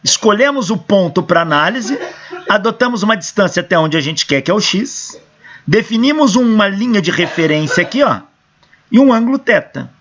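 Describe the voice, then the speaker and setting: A hoarse male engineering professor with a Brazilian Portuguese accent, working through equations step by step and answering his own questions, on a dull lo-fi recording. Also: high-pitched, expressive, moderate pace, fairly bright tone, a little hiss or hum.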